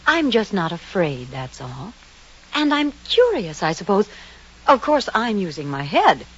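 An actress playing a headstrong, sensible woman who insists she is not afraid, firm and brisk, in a vintage radio drama. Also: medium pitch, big pitch swings, breathy edge. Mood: cool, dismissive. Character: headstrong, sensible unmarried woman, stubbornly unafraid. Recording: muffled old radio recording with hiss.

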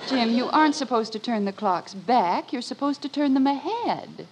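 An actress playing a sensible, patient middle-aged wife and mother with a husky voice in an old radio sitcom, reasoning and fussing mildly. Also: fairly high pitch, big pitch swings, normal speed, darkish tone. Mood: puzzled, correcting. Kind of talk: 1940s-50s radio sitcom acting.

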